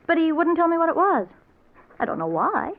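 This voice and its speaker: An actress playing a devoted, gentle, reassuring wife in a vintage radio drama, speaking affectionately. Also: female voice, extremely high pitch, sing-song, brisk. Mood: tender concern. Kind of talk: 1940s-50s radio drama acting. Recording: tinny old radio recording, faint noise.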